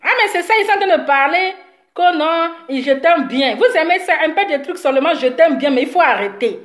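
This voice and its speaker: A woman's voice: sits high, lively intonation, brisk, roomy sound. A woman, slightly sick with a cold, scolding her followers in a heated, repetitive live video rant. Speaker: social media commentator.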